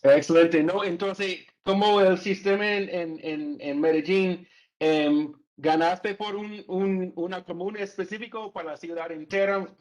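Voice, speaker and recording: A man's voice: high voice, steady tone, measured pace, darkish tone, low-quality recording. A man interviewing a guest, asking his questions hesitantly and restarting words often. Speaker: interviewer, executive editor.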